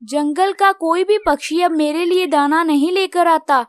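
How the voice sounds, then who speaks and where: Woman as a very high-voiced, gentle little bird. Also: fairly even intonation, unhurried, dry recording, studio quality. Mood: gentle, hopeful. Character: wise, brave, grateful little female tailorbird. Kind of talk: Hindi children's cartoon dialogue.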